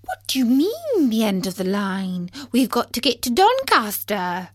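Woman playing an indignant, high-voiced lady passenger. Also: huge pitch swings, a little slow, no room sound, studio quality, full character voice. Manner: indignant, rallying. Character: indignant complaining lady bus passenger, bossy.

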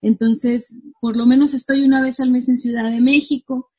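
High breathy lady in her 30s, chatty coach, laughs a lot, kinda muffled audio. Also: normal speed. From northwestern Mexico. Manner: chatty, animated, laughs easily. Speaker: guest, marketing coach. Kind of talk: casual interview chat. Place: her home.

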